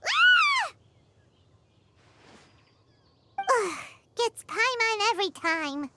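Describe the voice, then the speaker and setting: Tiny childlike game sidekick: extremely high squeak, wildly swooping, easily offended. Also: female voice, huge pitch swings, slow, sharp tone, slight background noise, exaggerated voice. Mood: startled. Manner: exclamatory, refers to self in third person. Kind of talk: anime-style video game voice acting. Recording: music behind the voice.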